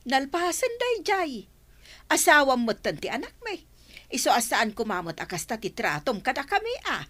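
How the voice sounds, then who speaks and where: Shrill, indignant older mother-in-law. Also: big pitch swings, moderate pace, a bit bright, full character voice. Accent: Ilocano. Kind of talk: radio drama acting.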